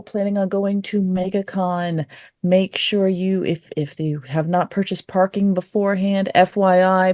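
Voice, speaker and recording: A woman's voice: medium pitch, darkish tone, no echo, clean studio sound. A woman co-hosting a podcast, chatting casually and interrupting herself as she gives practical tips. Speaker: podcast co-host.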